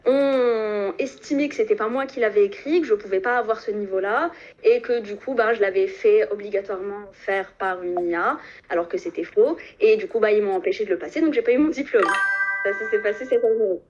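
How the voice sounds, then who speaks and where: A woman's voice: mid-range voice, brisk, a little mellow. A woman in her twenties from Algeria, calling into a live stream and talking with many fillers and restarts. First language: French. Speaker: caller. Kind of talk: phone call into a live stream. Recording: muffled phone call.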